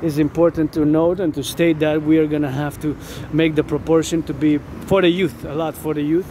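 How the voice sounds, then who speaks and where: A man's voice: on the high side, flat delivery, brisk. A man delivering a rambling outdoor monologue, insistently repeating words and phrases. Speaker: vlogger. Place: outdoors near a road with vehicles. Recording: loud outdoor traffic noise. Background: passing vehicles, urban ambience.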